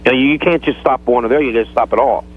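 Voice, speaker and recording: A man's voice: mid-range voice, racing. A man calling in to a radio show over a phone line, explaining himself in a rambling, evasive way. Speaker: phone-in guest. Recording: thin, band-limited phone line.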